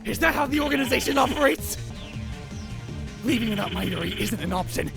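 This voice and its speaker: A man's voice: very high voice, animated, brisk, vocal fry, over music, heavily acted. A man playing a dramatic, paranoid young self-styled mad scientist in a visual novel fan dub, theatrical, brooding and self-important. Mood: indignant, worried. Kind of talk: visual novel fan dub, first-person narration and dialogue.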